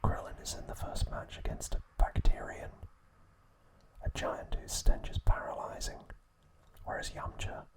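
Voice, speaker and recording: A man narrating scripted ASMR in a breathy, unvoiced whisper, with a measured, soothing delivery. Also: low-quality recording. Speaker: ASMR channel host.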